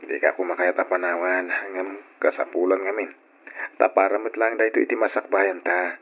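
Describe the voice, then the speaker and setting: An actor playing a devoted, sweet-talking young boyfriend with an Ilocano accent, coaxing and playful in old radio drama style. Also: male voice, low voice, little pitch movement, brisk, husky. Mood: tender, reassuring. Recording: dull lo-fi old radio recording.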